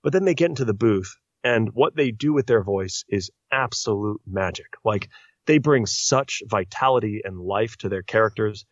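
A man's voice: on the low side, expressive, normal speed, no room sound. A man who is a voice-actor guest on a podcast, talking earnestly and at length. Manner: earnest, talkative, explains at length. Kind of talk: casual podcast interview. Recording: dry, muffled lo-fi sound.

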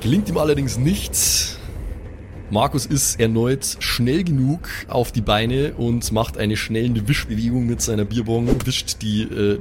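A man's voice: medium pitch, a little mellow, a little hiss or hum. A man, narrating an improvised tabletop game as game master.

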